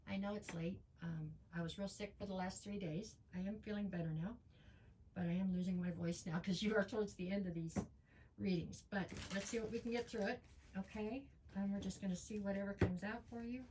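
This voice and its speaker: A woman's voice: medium pitch, fairly even intonation, a little fast, a little mellow, a little hiss or hum, rough recording. A woman reading tarot cards in a chatty way, losing her voice and with a stuffy nose. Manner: chatty, frequent ums, talks straight to viewers. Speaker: tarot reader.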